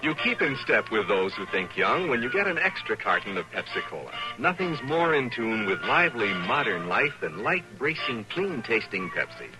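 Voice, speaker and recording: A man's voice: mid-range voice, big pitch swings, normal speed, hardly any pauses, low-quality recording. A man with an American accent reading a vintage scripted radio commercial with an upbeat selling manner. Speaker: commercial announcer. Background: music.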